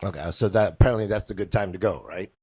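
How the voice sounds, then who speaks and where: A man hosts a casual interview on a video call, joking around and repeating his lines. His voice sits on the low side and sounds dry and close, with no room around it. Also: quick pace, darkish tone, husky, no room sound. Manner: jokey, rambling, repeats himself. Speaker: interview host.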